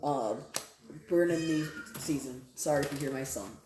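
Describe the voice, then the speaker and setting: Low-voiced woman in an echoey room. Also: normal speed, background noise. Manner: conversational, repeats phrases, often checks in with "right?" and "okay?". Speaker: tarot card reader. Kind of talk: casual, improvised tarot reading addressed to viewers.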